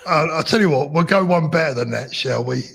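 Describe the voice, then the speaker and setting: Man, husky, higher-pitched, jokey rambling host, casual stream banter. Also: a little fast, a bit bright.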